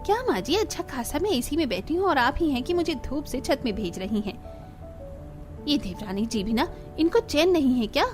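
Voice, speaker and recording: Very high-pitched haughty sister-in-law voiced by a woman. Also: lively intonation, moderate pace, a little mellow, faint music behind. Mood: annoyed. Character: haughty, irritable elder sister-in-law, complaining and sharp-tongued. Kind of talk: Hindi cartoon family-drama acting.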